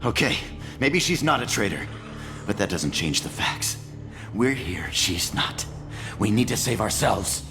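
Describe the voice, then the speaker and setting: Gravelly, low-voiced tech, hurt and distrustful, with swinging pitch. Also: male voice, very animated, measured pace, music in the background. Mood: stubborn. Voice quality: gruff, suspicious. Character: wounded, bitter male station technician. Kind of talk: video game voice acting.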